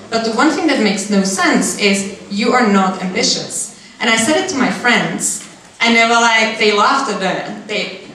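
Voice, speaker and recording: A woman's voice: mid-range voice, fairly bright tone, far from the mic, slight background noise. Young woman, guest speaker, candid, restarts mid-sentence, handheld mic, heard from afar, very echoey room. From Austria. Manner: candid, thinks aloud with restarts. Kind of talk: Q&A after a talk. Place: large seminar room. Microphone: handheld mic, far camera.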